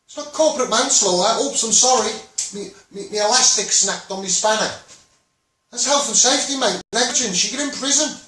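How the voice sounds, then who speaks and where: A man's voice: very high-pitched, a little fast, bright, forceful tone, slight background noise. A man with a Scouse accent, a campaigner giving a blunt, rambling, impassioned monologue to online viewers, often repeating himself. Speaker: children's rights campaigner. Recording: very echoey room, far from mic.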